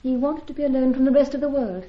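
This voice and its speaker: High-voiced earnest young English woman acted. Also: fast talker, natural voice. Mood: sad, earnest. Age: young adult. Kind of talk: old-time radio drama acting. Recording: dull vintage radio recording.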